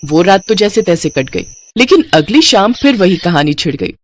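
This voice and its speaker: A woman's voice: lowish voice, very animated, moderate pace. A woman narrating a scripted family moral story as storyteller.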